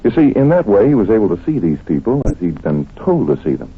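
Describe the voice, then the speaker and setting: An actor playing a confident, analytical detective in an old radio drama, explaining things with teasing, affectionate asides. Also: male voice, on the low side, very animated, fast talker, husky, full character voice. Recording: muffled vintage radio recording.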